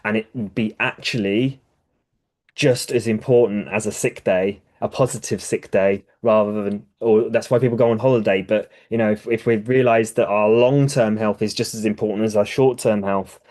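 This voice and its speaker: A man's voice: on the low side, fairly even intonation, normal speed, darkish tone. A man speaking as a podcast guest, explaining ideas thoughtfully with frequent restarts. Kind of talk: casual podcast conversation.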